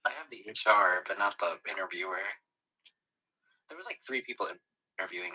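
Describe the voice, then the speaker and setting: A young man chatting casually with his partner, relaxed and teasing, laughing often. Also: low-pitched, brisk, breathy edge, low-quality recording. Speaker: livestream co-host.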